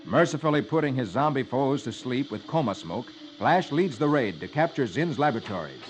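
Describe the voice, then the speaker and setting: A man's voice: medium pitch, big pitch swings, brisk, faint music behind. A man hosting a 1950s children's radio show, reading comic strips in a jolly, theatrical storytelling style. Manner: jolly, exaggerated delivery. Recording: noisy old 1950s radio recording.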